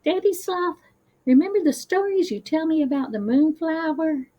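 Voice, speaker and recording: A woman's voice: sits high, moderate pace, warm tone, dull audio, voice pushed up, lightly acted. A woman voicing an eager, kind young sloth child in an earnest storybook manner. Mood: hopeful.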